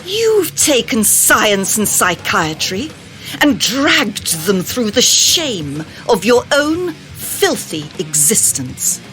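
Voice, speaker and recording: A woman's voice: medium pitch, very animated, slow pace, fairly bright tone, faint music behind. An actress playing a scornful, venomous female psychopath in a radio drama, with a theatrical, contemptuous manner.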